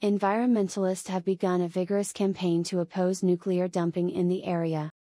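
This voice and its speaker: A woman's voice: lowish voice, flat delivery, brisk, warm tone, no echo, clean studio sound. A woman reading scripted practice sentences for an English speaking test. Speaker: test prompt reader.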